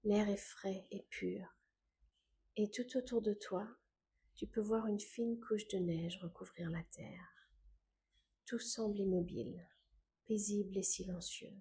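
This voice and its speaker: Slow, soft-spoken woman guiding meditation. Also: mid-range voice, slight background noise. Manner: calm, gentle, unhurried with long pauses. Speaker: meditation guide. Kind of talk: scripted guided meditation.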